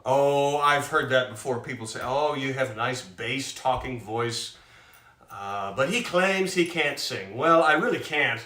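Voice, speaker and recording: A man with a loud speaking voice, hosting a live stream and chatting casually and self-mockingly between songs. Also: medium pitch, a little slow. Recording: roomy sound from a distant mic.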